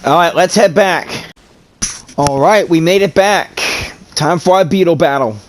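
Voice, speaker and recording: A woman voicing a calm, curious young traveler in a video game, with a plain, dry questioning manner. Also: lowish voice, a little slow, a little hiss or hum, close to an everyday voice. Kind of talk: English video game voice acting.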